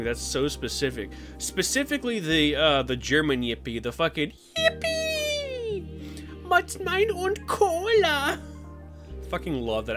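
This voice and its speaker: A man streaming casually, chatting with viewers and jumping into high silly voices. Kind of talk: casual live-stream chat.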